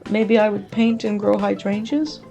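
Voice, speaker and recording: A woman's voice: mid-range voice, moderate pace, vocal fry, dark tone, highs cut off, faint music behind, natural voice. A woman voicing an anxious, tearful, self-doubting grandmother with a plaintive manner in a story reading. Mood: tearful, pleading. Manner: plaintive, whining.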